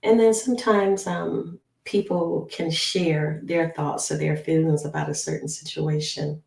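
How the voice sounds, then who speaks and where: A hoarse woman, a travel nurse, telling a story in a rambling vlog, with many ums and restarts. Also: low-pitched, level tone, unhurried, a little mellow. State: hoarse from a lingering flu cough. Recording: echoey room.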